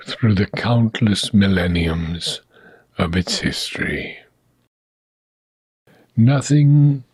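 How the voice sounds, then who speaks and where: A man reading philosophical prose aloud in a solemn, measured way, with deliberate phrasing and frequent pauses. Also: mid-range voice, a little mellow, husky, no echo. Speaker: reader of a philosophical book.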